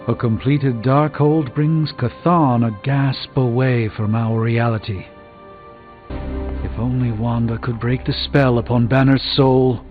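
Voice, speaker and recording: An actor playing a learned sorcerer mentor in a video game, speaking in a grave, measured, formal way. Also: male voice, medium pitch, close to an everyday voice. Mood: measured, concerned. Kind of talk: video game character dialogue. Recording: dull band-limited game audio, music behind.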